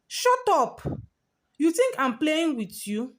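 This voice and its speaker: Woman acting a high-voiced, domineering schoolgirl bully. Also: big pitch swings, takes it slowly, exaggerated voice. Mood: furious. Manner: barking, commanding, turning sickly sweet. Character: bullying teenage girl senior prefect, domineering, sly and taunting.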